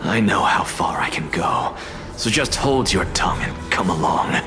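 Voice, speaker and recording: An actor playing an aloof, dying, skeptical scholar in a video game, speaking in a dry, sardonic and measured way. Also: male voice, low voice, lively intonation, in a whisper. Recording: background music.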